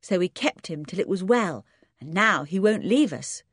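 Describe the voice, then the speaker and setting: A woman's voice: on the low side, animated, moderate pace, slightly rough, dry recording, clean studio sound. A woman voicing fierce, sulky twin boys who speak in unison. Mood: defiant.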